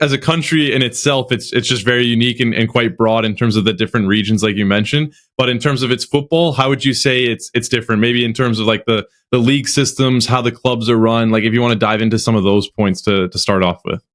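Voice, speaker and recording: Podcast host, halting and repetitive. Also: male voice, medium pitch, steady tone, a bit bright. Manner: halting, repeats words as he talks. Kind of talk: casual podcast interview.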